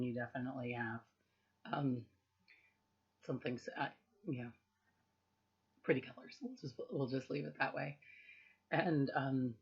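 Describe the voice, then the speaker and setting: A woman with a hoarse, husky voice after losing it, giving a candid, rambling personal update at home and laughing at herself now and then. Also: sits low. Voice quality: husky, strained. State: hoarse, recovering from lost voice. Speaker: vlogger giving a health update. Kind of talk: casual personal update to camera. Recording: dull lo-fi home recording.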